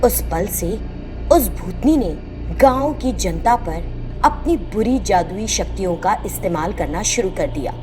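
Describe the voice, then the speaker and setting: A woman's voice: medium pitch, animated, unhurried, over music, low-quality recording. A woman narrating a scripted moral tale as the storyteller.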